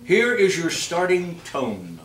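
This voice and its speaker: A man's voice: high-pitched, huge pitch swings, slow pace. A man addressing a live audience in a playful, mock-stern way as he leads a holiday sing-along. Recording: echoey room from far off, audience noise.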